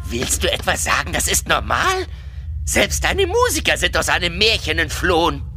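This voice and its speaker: A clever male raven from a children's radio play. He is brisk, urgent and easily exasperated, with a wildly animated pitch over music. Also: heavily acted. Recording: music behind.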